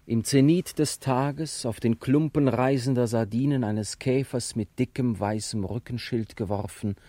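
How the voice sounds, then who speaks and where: A man narrates ornate literary prose for a radio play. His voice is medium in pitch with a dark, mellow colour. The sound is dry and close to a studio reading. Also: fairly even intonation, a little slow, no room sound.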